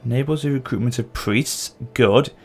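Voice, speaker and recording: A man with a sore throat talks through his strategy game in an easygoing, mid-pitched voice. He mutters, second-guesses himself and corrects his own plans as he goes. Faint game music plays behind him. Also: moderate pace, darkish tone, slightly rough. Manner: thinks aloud, self-correcting, muttering to himself. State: sore throat with occasional coughing. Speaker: gameplay channel host. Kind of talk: casual strategy-game commentary.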